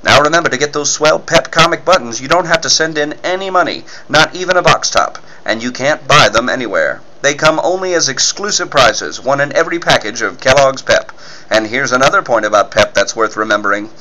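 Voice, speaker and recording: A man's voice: medium pitch, animated, fairly quick, bright, forceful tone, poor audio. A man reading as a 1940s radio announcer and narrator, with folksy, enthusiastic salesmanship.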